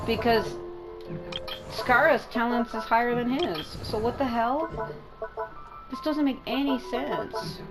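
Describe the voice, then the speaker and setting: A woman with a congested, mucusy throat doing casual, sweary game commentary, thinking out loud. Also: a little high, slow pace, long pauses. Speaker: gaming video host. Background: music.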